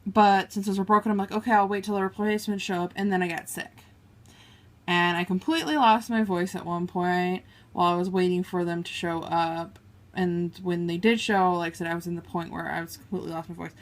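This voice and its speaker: A woman's voice: fairly low pitch, fairly even intonation, brisk. A woman vlogger chatting casually through a makeup haul, swatching shades on her arm and apologizing for mispronouncing names. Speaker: beauty vlogger. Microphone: camera on a table, filming her hands.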